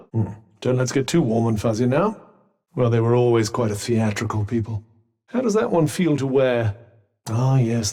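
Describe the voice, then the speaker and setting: A man's voice: medium pitch, a little mellow, husky. A man with a British accent voicing a haughty, sardonic magical cuff in a video game, speaking with dry, articulate understatement. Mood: dry, wry. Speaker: voice actor. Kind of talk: video game companion dialogue.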